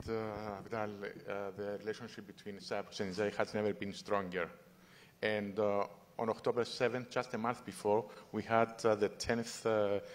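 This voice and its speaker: Panel guest: man with a lowish voice, halting conversational delivery, reverberant hall. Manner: conversational, with frequent hesitations. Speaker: diplomat on a panel. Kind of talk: formal panel discussion.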